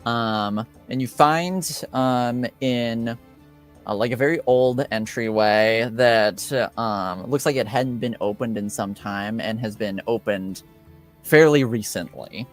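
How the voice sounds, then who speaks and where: A man acting as game master, improvising narration for a tabletop game in a halting way with many pauses. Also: mid-range voice, soft background music. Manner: halting, frequent pauses and filler sounds.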